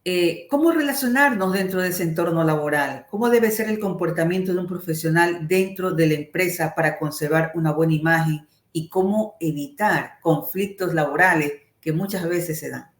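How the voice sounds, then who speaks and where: Woman interviewer, lowish pitch, conversational questions, very roomy echoing sound. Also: normal speed, few pauses. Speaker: interview host.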